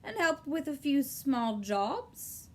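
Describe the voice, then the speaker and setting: A woman voicing a smooth, overly polite gentleman in a storybook reading, genteel in manner. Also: high-pitched, very animated, takes it slowly, voice pushed up, full character voice.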